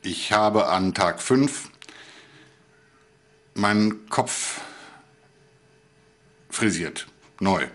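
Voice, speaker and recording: A man in his thirties speaking hesitantly and deadpan in a video diary, recorded indoors on a camera. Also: on the low side, extremely slow, pauses often. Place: small room in a flat, indoors.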